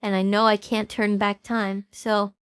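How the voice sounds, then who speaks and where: A woman's voice: medium pitch, little pitch movement, measured pace, smooth, no echo, clean studio sound, lightly acted. Woman acting earnest, worried young female streamer. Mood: regretful, earnest. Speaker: voice actor in fan audio drama. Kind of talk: audio drama acting.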